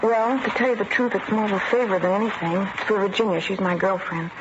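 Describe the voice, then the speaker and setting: An actress playing a reluctant, apologetic shop worker, evasive and plain-spoken, in a 1950s radio drama. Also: female voice, medium pitch, fairly even intonation, quick pace, natural voice. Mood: reluctant, confiding. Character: guarded, reluctant young female gift-shop worker. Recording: muffled, noisy vintage radio recording.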